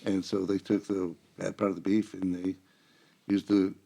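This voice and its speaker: Male, fairly low gravelly voice, informal explainer who keeps restarting his sentences. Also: flat delivery, normal speed, warm tone, a little hiss or hum. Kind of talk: casual chat, explaining.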